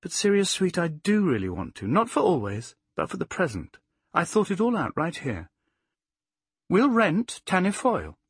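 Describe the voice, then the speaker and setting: A man's voice: high-pitched, wildly swooping pitch, breathy edge, no room sound, clean studio sound, voice pushed up, full character voice. A man voicing an earnest, affectionate young woman in an audiobook, coaxing and stubbornly devoted, with emphatic speech. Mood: earnest, pleading. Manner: coaxing, emphatic, halting when unsure. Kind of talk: audiobook character voice.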